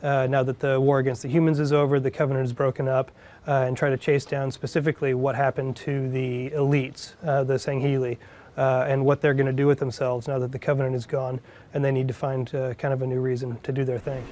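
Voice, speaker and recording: A man's voice: medium pitch, level tone, brisk, darkish tone. A man giving a behind-the-scenes interview as a writer, thoughtful and hesitant as he explains his work. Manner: hesitant, thoughtful, frequent uhs.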